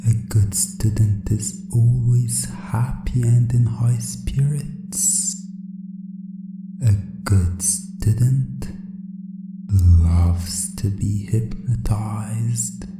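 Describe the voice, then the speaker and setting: A man speaking softly in a breathy, often whispered ASMR roleplay as a calm, controlling hypnotist teacher, deliberate and repetitive. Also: fairly low pitch, no room sound. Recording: music bed under the voice. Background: music.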